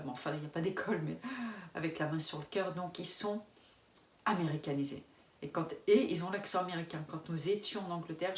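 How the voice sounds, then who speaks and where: French woman in her forties, candid rambling vlog chat, low-ish voice, echoey room, set back from the mic, faint background hum. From France. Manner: frank, rambling, many restarts, laughs often. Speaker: expat family vlogger. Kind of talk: casual face-to-camera chat. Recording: roomy, distant mic, faint ambience.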